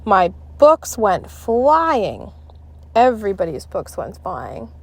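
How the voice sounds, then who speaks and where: Unhurried woman reading a children's story. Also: mid-range voice, very animated. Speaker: storybook reader. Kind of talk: expressive children's read-aloud narration.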